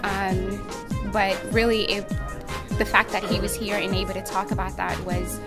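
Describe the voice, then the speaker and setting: A woman's voice: medium pitch, flat delivery, normal speed. Hesitant woman, music behind. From Somalia. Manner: hesitant, many ums. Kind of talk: interview comments.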